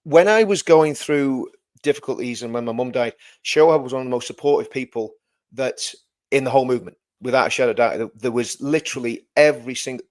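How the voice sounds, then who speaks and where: A man hosting a live-stream chat. He talks in a warm, chatty way and drops in self-deprecating asides. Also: medium pitch.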